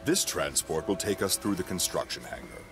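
An actor playing a gruff, proud imperial governor in a science-fiction audio drama, with a formal military way of talking. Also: male voice, sits low, lively intonation, normal speed, music in the background. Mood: wary, deferential.

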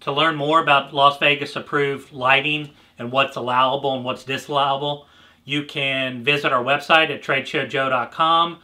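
Casting: American man, middle-aged, conversational product presenter with a markedly sharp, bright, average-pitched delivery. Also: flat delivery, moderate pace. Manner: explanatory, conversational. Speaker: presenter for a trade show supplier. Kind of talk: informal product explainer to camera. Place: indoor display booth set, standing behind a counter.

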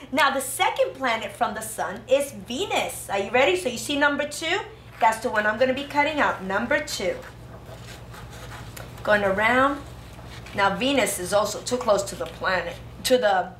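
A woman's voice: mid-range voice, a bit bright. A woman in her thirties teaching a craft lesson to young children, in a sing-song, exclamatory way. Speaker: preschool teacher. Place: indoor preschool classroom. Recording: roomy classroom echo, faint background noise.

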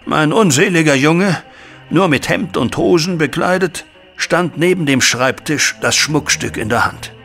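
A man's voice: fairly high pitch, measured pace, fairly bright tone. An actor playing an anguished middle-aged father in an audio drama, speaking in a formal, agitated and dramatic way. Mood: tense recounting. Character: distraught, anguished banker father recounting a family scandal. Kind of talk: German audio drama acting. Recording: studio audio drama with music underneath.

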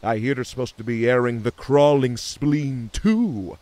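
A man's voice: medium pitch, measured pace, darkish tone, no room sound, natural voice. A man voicing a suave, affectionate male cartoon beaver with a cool, sweet-talking manner. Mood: smooth, affectionate.

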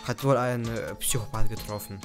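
A man's voice: on the low side, fairly even intonation, normal speed, faint music behind, natural voice. A man playing a grumbling, flippant policeman in an amateur audio play.

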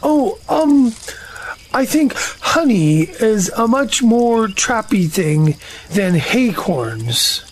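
A woman playing a slow, dreamy, honey-loving bear in an audio drama, musing and ponderous. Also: medium pitch, sing-song, slight background noise, rough recording. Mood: pondering.

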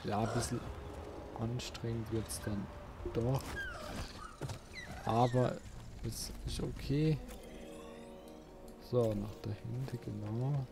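A man's voice: mid-range voice, steady tone, slow pace, vocal fry, pauses often. A man doing casual let's play commentary, musing aloud and chatting to his viewers. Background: game music.

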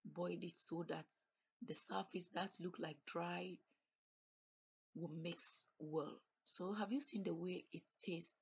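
A woman's voice: lowish voice, steady tone, takes it slowly, breathy edge. A woman chatting casually as she cooks in her home kitchen, explaining each step as she goes. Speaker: cooking vlogger. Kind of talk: casual cook-along narration.

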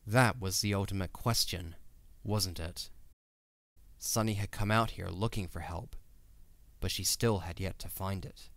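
A man's voice: sits low, fairly even intonation, unhurried, a bit bright, no echo. A man narrating a scripted audio drama as a dramatic storyteller.

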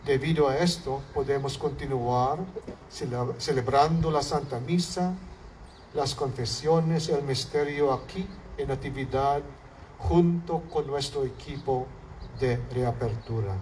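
A priest with a Filipino accent preaches very slowly and deliberately, his phrases sinking at their ends. His voice comes from some distance off the mic at an outdoor altar under a canopy, with a faint hiss of open air behind it. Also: medium pitch, darkish tone. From Philippines. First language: Hiligaynon. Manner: slow, measured preaching with falling phrase ends. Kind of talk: homily. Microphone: far camera, mic at lectern. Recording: distant outdoor recording with faint background noise.

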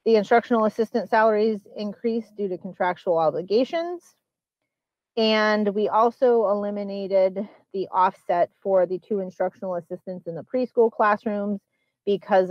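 A woman presenting a school budget at a public hearing, explaining line items and figures in a measured, explanatory way. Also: medium pitch, dry recording, highs cut off. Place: public meeting.

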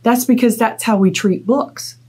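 A woman's voice: on the high side, steady tone, unhurried, a little mellow, husky. A middle-aged woman hosting a children's storytime as a librarian, instructive and playfully dramatic. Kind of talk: children's storytime talk and read-aloud. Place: small indoor space, plain wall.

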